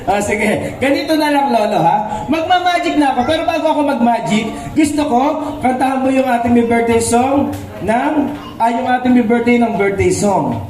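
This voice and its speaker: A man's voice: very high voice, moderate pace, a little mellow. A man hosting a children's party puppet show with coaxing, repetitive comic patter and instructions. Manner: coaxing, instructing, repeats himself. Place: live children's birthday party. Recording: echoey live recording, mic far off.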